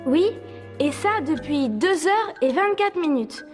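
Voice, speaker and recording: An actress voicing a precise, matter-of-fact schoolchild in a French cartoon dub. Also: female voice, high-pitched, expressive, takes it slowly, over music. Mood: factual, incredulous.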